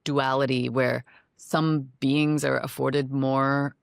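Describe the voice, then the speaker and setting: A woman from Hong Kong, a documentary filmmaker, answering interview questions in a thoughtful, measured way. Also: extremely low pitch, little pitch movement, vocal fry, no room sound, clean studio sound. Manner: thoughtful, measured, frequent "you know".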